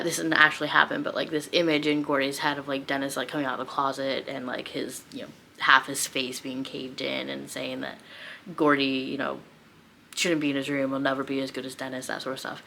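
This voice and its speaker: Low-voiced woman chatting casually about books. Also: fairly bright tone. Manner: self-deprecating, rambling, thinking aloud, giggly. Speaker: book vlogger. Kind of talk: casual chatty book review, unscripted.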